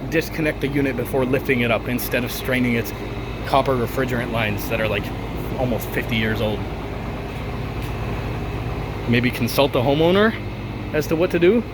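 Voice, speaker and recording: A hoarse man with a cold, grumbling and ranting about contractors while showing an old air conditioner in his backyard. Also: medium pitch, moderate pace, long pauses, heavy background noise. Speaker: video host. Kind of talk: casual outdoor vlog walkthrough.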